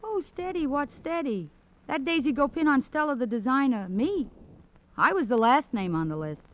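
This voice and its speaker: Brassy young dame, lively and high. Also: female voice, moderate pace. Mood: wry, wounded. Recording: dull vintage radio recording.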